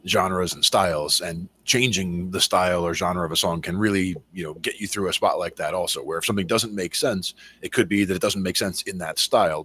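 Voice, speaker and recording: A man with a very deep voice, co-hosting a casual chat about songwriting and explaining his ideas at length with many fillers. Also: a bit bright, slightly rough. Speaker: podcast co-host.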